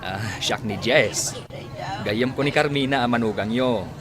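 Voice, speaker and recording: A man's voice: medium pitch, unhurried, close to an everyday voice. A man acting a friendly, curious young visitor with an Ilocano accent in a radio comedy drama.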